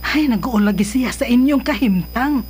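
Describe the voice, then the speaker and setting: An actress playing an older mother who gently pleads with her grown son in a radio drama, with a Filipino accent. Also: fairly high pitch, lively intonation, normal speed, a little hiss or hum, lightly acted. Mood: gently pleading. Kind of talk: Philippine radio drama acting.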